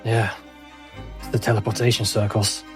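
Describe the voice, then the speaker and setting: A man's voice: lowish voice, level tone, moderate pace, music in the background, lightly acted. A man playing an earnest, wary sanctuary man who talks informally and pleads for secrecy. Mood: urgent, frank.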